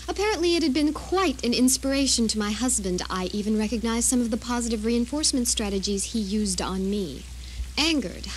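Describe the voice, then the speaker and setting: A woman playing a bitter, vengeful captor in an audio drama, speaking with sardonic, deliberate menace. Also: medium pitch, natural voice. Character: vengeful doomed female captor, bitter, taunting, coldly menacing. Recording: faintly noisy radio drama recording.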